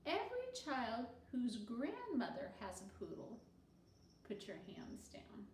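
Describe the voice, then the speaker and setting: A woman voicing a patient, orderly female second-grade teacher with a measured, instructive way of talking in a story. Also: a little high, huge pitch swings, distant mic, full character voice. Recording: roomy echo with faint noise.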